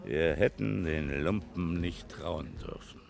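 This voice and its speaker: An actor playing a grief-stricken, gin-soaked old man in a radio play, lamenting with a creaky voice. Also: takes it slowly, a little mellow, full character voice. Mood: bitter grief. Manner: lamenting, pitch lurching upward.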